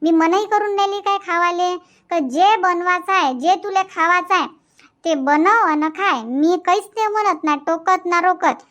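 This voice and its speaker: A woman's voice: extremely high pitch, moderate pace, a bit bright, dull audio. A woman voicing a nagging, thrifty cartoon village wife with a Varhadi Marathi accent, complaining and sarcastic. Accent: Varhadi Marathi from Vidarbha. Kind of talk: dubbed cartoon comedy dialogue.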